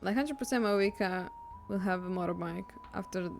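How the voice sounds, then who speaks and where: A woman's voice: mid-range voice, brisk, vocal fry, a little mellow. A woman livestreamer chatting casually as she reacts to a video game. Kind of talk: casual stream chat. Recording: faint game music behind.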